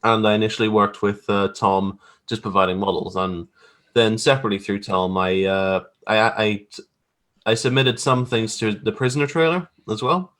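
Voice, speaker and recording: Male interview guest, lowish voice, casual and loose, feeling his way through explanations of his creative work. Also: steady tone, normal speed, fairly bright tone. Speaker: guest graphic artist. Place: remote video call.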